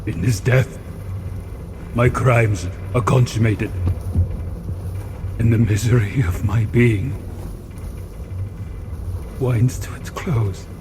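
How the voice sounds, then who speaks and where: An actor playing an anguished, bitter monster in an audio drama, speaking in solemn, archaic declamation. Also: male voice, mid-range voice, takes it slowly, long pauses, noisy background. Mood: anguished remorse. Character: anguished, remorseful male monster, grand and bitter.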